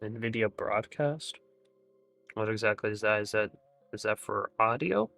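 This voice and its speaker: A man's voice: lowish voice, unhurried, creaky, faint music behind. Man calling trades on a livestream. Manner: thinks aloud over charts, trails off quietly, laughs easily. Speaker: day-trading livestream host. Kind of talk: live trading commentary with guests.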